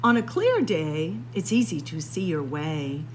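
A woman hosting a story time, reading a picture book to young children in a sing-song way with drawn-out phrases and dramatic pauses. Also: deep voice, sing-song, soft background music. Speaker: library story time host. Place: indoors among library bookshelves.

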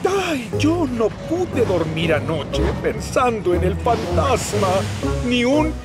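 A man's voice: extremely high pitch, big pitch swings, takes it slowly, heavily acted. A man with a Latin American Spanish accent playing an anxious, dramatic steam engine in a cartoon dub. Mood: weary, worried. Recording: loud music behind the voice.

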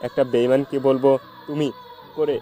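A dark, mellow male voice a man acting plays a jilted, heartbroken young lover. The lines are melodramatic love-story dialogue over a faint music bed. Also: mid-range voice, close to an everyday voice. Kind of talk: amateur audio love-story drama.

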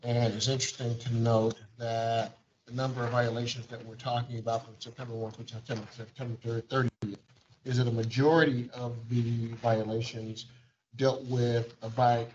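A man's voice: medium pitch, a little mellow, a little hiss or hum. Man giving a wordy board report. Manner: wordy, bureaucratic phrasing with frequent fillers. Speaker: agency administrator. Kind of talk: formal board meeting report. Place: large conference room. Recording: dull lo-fi meeting audio.

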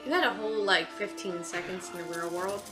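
A woman's voice: mid-range voice, a little fast, over music. A woman in her thirties giving casual, sarcastic, incredulous commentary as she reacts on a movie. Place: living room couch, indoors.

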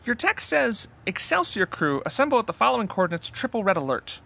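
A pedantic, skeptical geek acted by a man in a comedic audio drama. His voice is sharp and bright, leaping up and down as he sounds fussy and incredulous. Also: high voice, big pitch swings, a little fast, vocal fry, heavily acted. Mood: exasperated, pedantic. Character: exasperated, pedantic sci-fi fan, reluctant skeptic.

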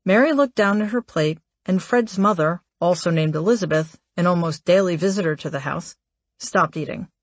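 A woman reading a memoir aloud as an audiobook narrator, in a measured, articulate way. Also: on the low side, dry recording.

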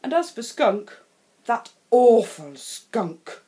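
A woman voicing a reluctant, fussy father lion in a storybook, measured, weary and disapproving. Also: a little high, sing-song, dark tone.

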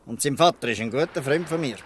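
A man's voice: medium pitch, lively intonation, fast, a little hiss or hum, close to an everyday voice. A man with a Swiss German accent playing a proud, protective old free fisherman in a radio play. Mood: indignant. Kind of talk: dialect radio play acting.

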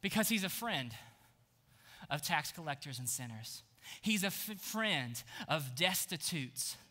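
A man in his thirties preaching an energetic sermon on a church stage, swinging from hushed lines to shouts. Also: a little high, a little slow. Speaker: pastor.

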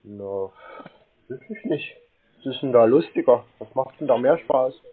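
A man with a husky voice making a prank phone call, stalling in a deadpan, silly way over a narrow phone-like line. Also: mid-range voice, a little slow. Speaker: prank-calling scam baiter.

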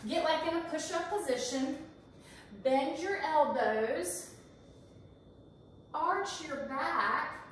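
An encouraging woman in her forties leads a workout from her home kitchen, her voice high and her pace unhurried. She counts reps aloud while she moves. The camera sits across a bare, echoing room, so she sounds distant. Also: a little hiss or hum. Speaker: fitness instructor. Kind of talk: guided workout instruction. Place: large home kitchen, indoors. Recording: echoey kitchen, voice from far off.

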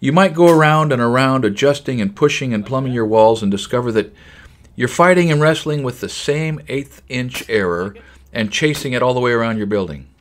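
An older man giving a measured, explanatory voice-over for a house framing lesson. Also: medium pitch, expressive. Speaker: framing instructor. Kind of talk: scripted voice-over narration.

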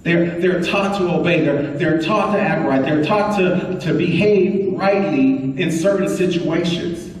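A middle-aged man preaching a lively sermon, repeating phrases and prompting his congregation. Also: fairly high pitch, a little slow, few pauses, a little hiss or hum. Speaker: pastor. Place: large bright church hall indoors. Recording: echoey hall recorded from far off.

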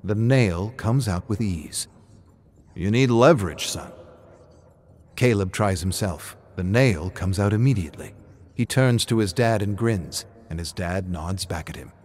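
Low-voiced man narrating a dramatic story. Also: normal speed, darkish tone.

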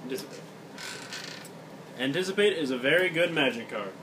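Grumbling male card player, mid pitch, mumbled calls, picked up from far off with steady room noise. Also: a little fast. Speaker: card game opponent. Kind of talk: casual chat while playing. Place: indoor room at a game table. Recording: distant, noisy phone capture.

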